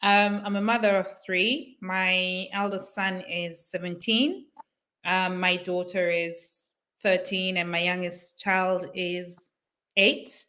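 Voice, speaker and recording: A woman, a parenting coach speaking as a guest on a live online interview over a video call, enthusiastic and quick to laugh. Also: on the low side, steady tone. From Zimbabwe. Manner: warm and enthusiastic, laughs easily.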